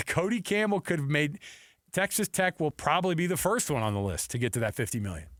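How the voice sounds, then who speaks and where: Male sports radio host, slightly high voice, dry close sound, casual and conversational. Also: sing-song, brisk, a bit bright, no echo.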